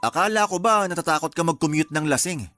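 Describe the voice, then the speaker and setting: Guarded young man, terse delivery, protective, gruffly caring, radio romance. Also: fairly high pitch, fast talker, pushed and bright, soft background music. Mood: protective, firm. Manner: terse, matter-of-fact. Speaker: voice actor. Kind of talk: radio romance drama acting.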